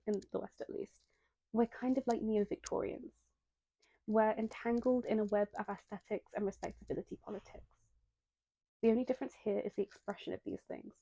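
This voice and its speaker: A woman's voice: medium pitch, vocal fry, warm tone, dry recording, poor audio. A young woman with a slightly nasal voice, reading a scripted video essay and drifting into apologetic, chatty asides. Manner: self-deprecating, breaks into apologetic tangents.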